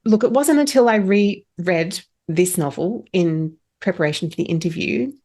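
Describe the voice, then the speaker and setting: A woman's voice: fairly low pitch, expressive, moderate pace, mellow. A woman hosting a podcast interview in a casual, chatty style, encouraging and complimentary toward her guest. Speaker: podcast host.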